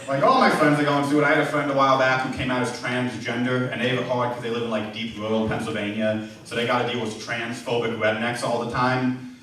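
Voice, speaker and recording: A young man in his twenties with a speech impediment works through a self-deprecating stand-up set, peppered with "like." His voice sits at an ordinary pitch. The recording is very roomy and distant, with loud crowd noise behind him. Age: 20s. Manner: awkward, self-deprecating, frequent "like". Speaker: stand-up comedian. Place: comedy club stage. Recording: echoey room, far from the mic, loud crowd noise. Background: audience laughter.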